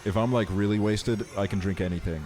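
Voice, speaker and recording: A man's voice: on the low side, steady tone, fairly quick, darkish tone. A man from Estonia livestreaming a video game, chatting casually in slangy, sweary talk. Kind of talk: casual chat while streaming a video game.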